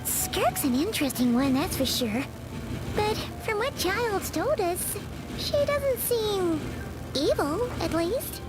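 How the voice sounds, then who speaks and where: A tiny female game fairy with an extremely high, squeaky, childlike voice. She chatters in a very animated, swooping way, loyal and excitable, over clearly audible music. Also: slow pace, heavily acted, rough recording. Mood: worried, wary. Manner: refers to herself in the third person, animated. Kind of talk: video game voice acting.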